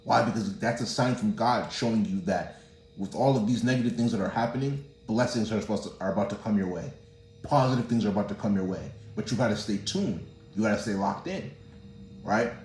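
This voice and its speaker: A young man talks fast in a lowish voice, preaching a casual motivational message and leaning on "bro" and "you know what I'm saying". His voice bounces around an echoey room. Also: darkish tone, a little hiss or hum. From Nigerian family, born in the US. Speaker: motivational vlogger. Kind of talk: casual motivational talk to camera.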